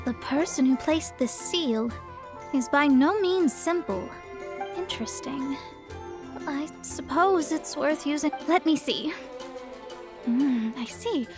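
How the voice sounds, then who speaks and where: Proud, very high-voiced young astrologist. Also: female voice, expressive, slow, pauses often, over music. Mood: intrigued. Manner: haughty, theatrical. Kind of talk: anime game voice acting.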